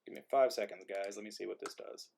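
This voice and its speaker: A man hosting an online live stream in casual chat, thinking aloud in a halting way as he goes. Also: fairly low pitch, gravelly, darkish tone, a little hiss or hum. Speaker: host of an online live-streamed play reading. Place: remote group video stream.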